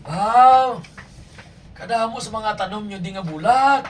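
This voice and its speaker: A woman's voice: medium pitch, slow pace, natural voice. A woman playing a nosy visitor full of questions in an amateur radio drama. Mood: curious, excited. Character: nosy visitor admiring plants and asking questions. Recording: distant mic with faint background noise.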